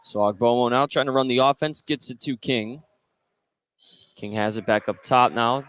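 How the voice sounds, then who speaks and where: A man's voice: medium pitch, moderate pace, fairly bright tone, clean tone. An American man doing live play-by-play basketball commentary on the radio. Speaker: play-by-play announcer. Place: indoor high school gymnasium. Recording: faint gym noise behind. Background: faint gym ambience.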